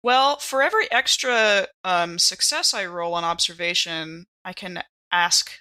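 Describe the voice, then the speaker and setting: A woman chatting casually as a player in a tabletop roleplaying game, with expressive, giggly reactions. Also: lowish voice, measured pace.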